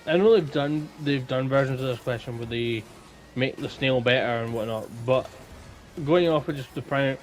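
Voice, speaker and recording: A man's voice: medium pitch, normal speed, faint music behind, poor audio. A Scottish man co-hosting a podcast in casual, informal conversation. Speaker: podcast co-host. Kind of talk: casual chat.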